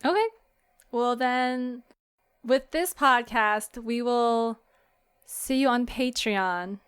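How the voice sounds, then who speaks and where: A woman's voice: a little high, takes it slowly, fairly bright tone, clean tone. A woman hosting a podcast in a casual, easygoing chat. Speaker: podcast host.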